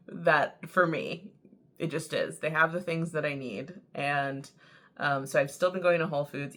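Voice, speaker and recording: Low-voiced chatty woman vlogger. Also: pushed and bright. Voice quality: a little raspy. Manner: chatty storytelling, many "like" fillers. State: tired from early waking. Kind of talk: casual chat to camera.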